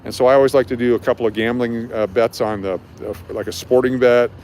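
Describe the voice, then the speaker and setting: A man's voice: medium pitch, steady tone, brisk, darkish tone. A man hosting a live walking tour, talking casually and informally as he explains things. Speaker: livestream host and street tour guide. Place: outdoors on city streets at night. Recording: noisy outdoor city street with traffic.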